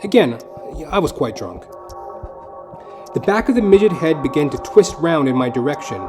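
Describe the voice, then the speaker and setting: Animated, high-pitched male comic storyteller. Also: normal speed. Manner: theatrical, ornate mock-literary phrasing. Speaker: comedian and storyteller. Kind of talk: scripted comic narration, audio play. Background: music.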